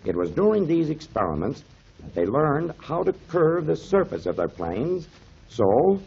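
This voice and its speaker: Kindly, animated old-time radio storyteller. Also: male voice, a little high, measured pace, vocal fry, a little hiss or hum, exaggerated voice. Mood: earnest storytelling. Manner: avuncular, explanatory, emphatic. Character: kindly grown man, storytelling mentor to children, pipe smoker. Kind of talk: 1930s radio acting. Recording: muffled 1930s radio recording.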